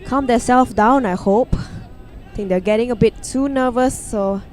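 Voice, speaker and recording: A woman's voice: fairly high pitch, measured pace, a little mellow. A woman doing chatty, detailed live play-by-play commentary at an outdoor field. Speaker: sports commentator. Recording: outdoor recording with clear crowd noise. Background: crowd.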